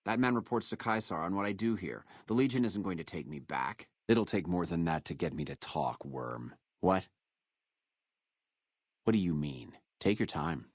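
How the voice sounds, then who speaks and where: Casting: proud captured legion officer for a game, very low voice, contemptuous and threatening, dry close sound. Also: male voice, expressive, slightly rough, no room sound, heavily acted. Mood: defiant, contemptuous. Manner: contemptuous, measured, menacing delivery. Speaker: video game voice actor. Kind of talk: video game character dialogue.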